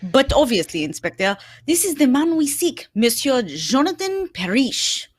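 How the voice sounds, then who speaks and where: A woman's voice: sits high, very animated, slightly rough, exaggerated voice. A woman voicing a formal, courteous male Belgian detective with a French-tinged accent, in the style of an old radio drama. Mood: courteous, precise. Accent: French-tinged Belgian accent, put on. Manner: formal, polite, measured foreign phrasing.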